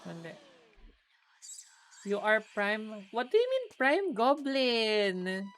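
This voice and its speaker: A woman's voice: a little high, sing-song, slow pace, music in the background. A woman with a Filipino accent chats casually on a game livestream, playful and blunt. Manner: chatty, playful, blunt with swearing. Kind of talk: casual livestream chat, mixing English and Tagalog.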